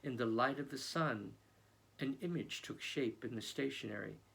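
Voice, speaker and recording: A male children's book author reading his own novel aloud in an expressive storytelling manner. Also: medium pitch, normal speed, vocal fry, breathy edge.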